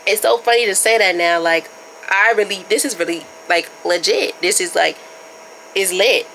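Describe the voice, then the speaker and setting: A woman's voice: mid-range voice, moderate pace, fairly bright tone, slight background noise, rough recording. A young woman hosting her podcast, chatting casually and informally with her listeners while stuffed up from a starting cold. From Baltimore area. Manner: chatty, loose and informal, addresses listeners as y'all. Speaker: podcast host. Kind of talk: casual solo podcast chat.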